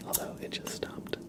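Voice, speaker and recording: Male ASMR host, breathy whisper, very unhurried and calming, leaving lots of space between gentle guided-relaxation phrases. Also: distant mic. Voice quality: breathy whisper, mostly unvoiced. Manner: soothing, unhurried, with long pauses. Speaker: ASMR live streamer.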